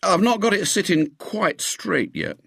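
A man's voice: high voice, big pitch swings, unhurried, gravelly, a bit bright, dry recording, studio quality, voice pushed up, exaggerated voice. A man voicing a brash, confident schoolboy ringleader, eager and breezy.

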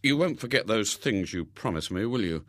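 A man's voice: lowish voice, expressive, moderate pace, a bit bright, dry recording, clean studio sound. A man reading aloud and voicing a mischievous young schoolboy who talks casually and hesitantly. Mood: offhand.

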